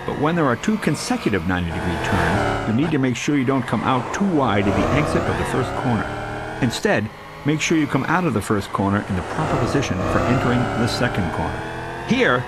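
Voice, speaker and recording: A man reading scripted instructional voice-over for a racing game in a clear, measured manner. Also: medium pitch. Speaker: game tutorial narrator. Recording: loud car engine noise over voice. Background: race car engines and driving sounds.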